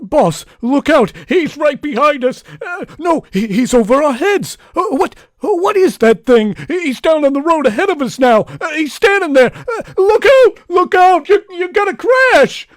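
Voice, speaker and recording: High-pitched, stammering nervous henchman. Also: expressive, a little slow, few pauses. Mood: panicked. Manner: stammering, hesitant, starting with "uh". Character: jittery, slow-witted henchman of a crime boss. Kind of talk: 1940s radio serial acting.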